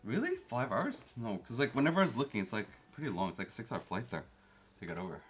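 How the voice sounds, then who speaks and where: A man's voice: medium pitch, very animated, fast talker, slight background noise. A young man from Canada who grew up speaking Korean at home, chatting casually with live-stream viewers and reading the chat aloud. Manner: chatty, reads chat aloud, playfully defensive.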